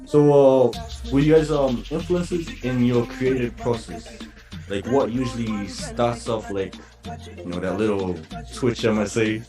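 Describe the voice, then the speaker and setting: A man hosts a radio chat, easing into each question with plenty of fillers. His voice sits at an ordinary pitch. Music plays clearly beneath him. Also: a little slow. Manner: relaxed, hesitant questions with fillers. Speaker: radio interviewer. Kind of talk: casual radio interview.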